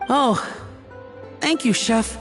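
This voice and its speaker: A woman's voice: a little high, extremely slow, a bit bright, faint music behind. A woman voicing a nervous, flustered young kitchen boy in a video game, stammering and apologetic. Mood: timid. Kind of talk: video game character dialogue.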